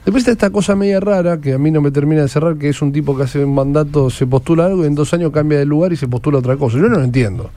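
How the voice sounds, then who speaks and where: Fast-talking Argentine interviewer. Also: male voice, a little high, mellow, dry recording. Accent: Argentine Spanish. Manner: blunt and colloquial, quick questions. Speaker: interviewer host. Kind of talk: casual interview.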